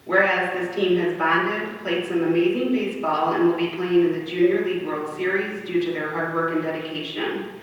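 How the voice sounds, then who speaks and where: A woman's voice: fairly low pitch, fairly even intonation, normal speed, few pauses. A woman city councilwoman reading out a formal proclamation at a public meeting. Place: large indoor council chamber. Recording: echoey hall from far off, muffled, faint noise.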